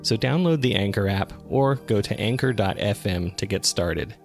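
A man's voice: lowish voice, moderate pace, creaky, faint music behind. A man hosting a podcast, reading scripted narration straight to the listener. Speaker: podcast producer and host.

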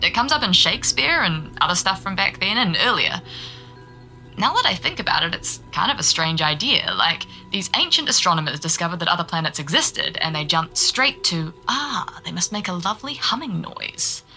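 A woman's voice: on the low side, big pitch swings, normal speed, sharp tone, soft background music, exaggerated voice, low-quality recording. An actress playing a young, nervous and eager woman reporter in naturalistic audio drama dialogue. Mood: curious, playful. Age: young adult. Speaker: young arts radio reporter and local guide.

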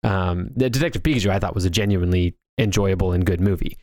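A man's voice: sits low, fast, a little mellow, no room sound, clean studio sound. A man, chatting conversationally about films as a co-host. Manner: conversational, anecdotal. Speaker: talk show co-host. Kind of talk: casual film discussion.

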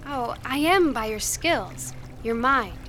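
Bright, high-voiced pious teenage girl. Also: expressive, takes it slowly, slight background noise, full character voice. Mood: sweetly preachy. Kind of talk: audio drama acting.

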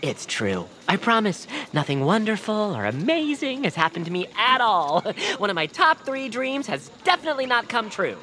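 A woman playing an eager, optimistic young creature with an earnest, excitable manner in a family audio drama. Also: mid-range voice, normal speed, few pauses. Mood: coy. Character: Noah, eager optimistic young peepkin dreamer. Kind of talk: audio fiction acting for families.

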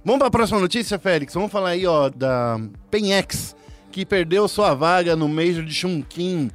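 A man's voice: sits high, normal speed, soft background music. A man with a Brazilian Portuguese accent hosting an esports news show in a casual, upbeat presenting style.

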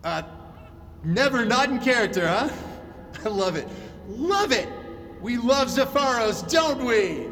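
An actor playing a showy, flippant fan-convention host in an audio drama, glib and full of announcer hype. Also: male voice, very high voice, lively intonation, slow pace, long pauses, fairly bright tone, a little hiss or hum, heavily acted. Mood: glib, upbeat. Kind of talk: audio drama acting as stage emcee.

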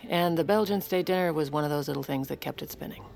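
A woman playing a wry, composed, ambitious first lady in a comedic audio drama, speaking in a polished deadpan. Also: low voice, fast, gravelly, slight background noise. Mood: dryly composed.

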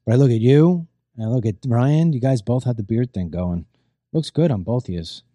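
A man's voice: mid-range voice, lively intonation, moderate pace, dark tone, no room sound. A middle-aged man hosting a casual talk show, chatty and rambling, his voice strained as it recovers from being lost. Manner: chatty, rambling, repeats himself. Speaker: host.

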